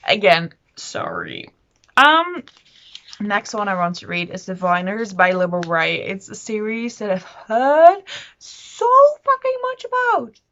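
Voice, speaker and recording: A woman with an average-pitched voice chats casually, as if to her viewers on a book vlog. She is enthusiastic and rambles, often poking fun at herself. The recording is a little dull and lo-fi. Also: a bit bright. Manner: enthusiastic, rambling, self-deprecating. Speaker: book vlogger. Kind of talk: casual chatty monologue to camera.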